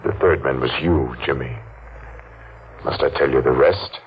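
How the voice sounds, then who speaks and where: An actor playing a menacing masked man seeking revenge, taunting and deliberate, in 1940s radio acting style. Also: rumbling low, slight background noise. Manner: taunting, slow and deliberate, laughs mockingly. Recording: muffled old radio recording.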